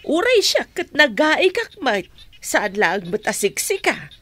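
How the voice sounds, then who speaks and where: A woman playing a gentle, caring mother of a grown son in a radio drama. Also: high-pitched, huge pitch swings, a little slow, full character voice. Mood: defensive.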